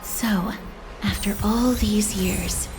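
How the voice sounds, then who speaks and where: An actress playing a gentle, tactful young companion in a video game, courteous and a little hesitant. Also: female voice, medium pitch, fairly even intonation, extremely slow, music in the background, poor audio. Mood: earnest, tentative.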